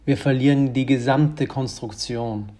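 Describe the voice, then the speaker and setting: A man's voice: medium pitch, fairly even intonation, unhurried, dark tone, close to an everyday voice. An actor playing a brusque, vehement male political strategist in an audio drama, speaking formally and emphatically.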